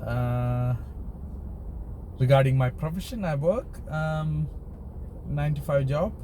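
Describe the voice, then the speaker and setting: A man vlogging from behind the wheel, chatting casually and unscripted to viewers in a halting, hesitant way. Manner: halting and hesitant, trailing into pauses. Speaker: driving vlogger. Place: inside a car while driving. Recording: noisy car interior with road rumble. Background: steady car and traffic noise.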